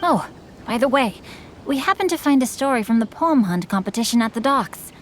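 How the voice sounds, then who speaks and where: A woman voicing a polite, bookish young gentleman in a video game, speaking in a formal, courteous and refined manner. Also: fairly high pitch, lively intonation, a little fast, slight background noise, close to an everyday voice.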